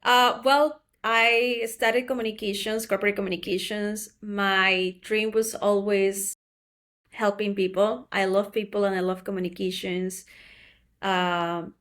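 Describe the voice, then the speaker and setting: A woman's voice: mid-range voice, little pitch movement, measured pace, fairly bright tone. A woman from Ecuador, a company co-founder talking earnestly as a guest in a casual interview, stretching some words as she speaks.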